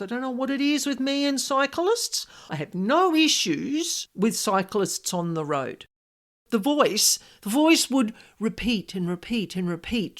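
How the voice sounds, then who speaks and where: High, very animated woman podcast host. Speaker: podcast host, paranormal specialist. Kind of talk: informal scripted podcast monologue, personal stories and advice.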